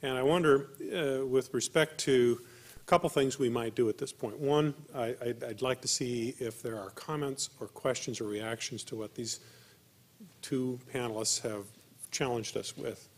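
A man's voice: mid-range voice, moderate pace. Older man moderating at a podium. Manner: hesitant, full of fillers, courteous. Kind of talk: moderating a panel. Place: conference auditorium podium. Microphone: podium mic.

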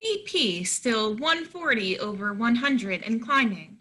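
A woman's voice: a little high, big pitch swings, slow, sharp tone, full character voice. A woman voicing an alarmist computerized home monitor that announces insistently in an online play reading.

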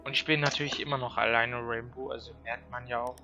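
A man's voice: medium pitch, steady tone, fast, bright, forceful tone, lo-fi sound. A man giving casual, blunt gameplay commentary, grumbling and swearing as he plays. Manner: blunt, grumbling, coarse-mouthed. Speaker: gamer, let's-player. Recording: noisy home gaming recording with game gunfire. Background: video game sounds, gunfire.

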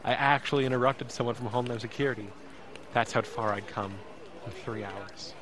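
An actor playing an anxious, emotional young man who narrates his ordeal in an audio drama, intense and halting. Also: medium pitch, a crowd in the background, natural voice. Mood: tense, afraid. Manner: intense, halting, repeats words.

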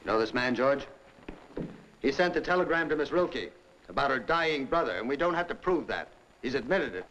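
An American actor, middle-aged, playing a stern, urgent government investigator with an earnest, clipped manner in an old film. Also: male voice, medium pitch, expressive, normal speed, a bit bright, dull audio. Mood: firm. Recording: old film soundtrack with hiss.

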